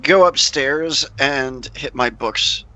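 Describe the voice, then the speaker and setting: A man playing a tabletop game, talking casually in a halting, hesitant way. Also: medium pitch, pushed and bright, low-quality recording. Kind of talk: casual chat during a game.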